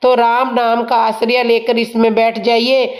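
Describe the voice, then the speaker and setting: A woman tells a devotional story at an unhurried pace, humble and earnest. Her throat is sore, so the voice sounds hoarse. Her pitch sits a little high but barely moves, keeping the telling even and steady. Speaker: storyteller of religious tales.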